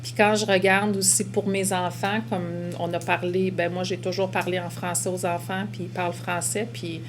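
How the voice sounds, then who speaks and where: A middle-aged woman from Québec with a Québécois accent, calmly sharing a reflective personal testimony about working in education. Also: lowish voice, normal speed, music in the background. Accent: Québécois French.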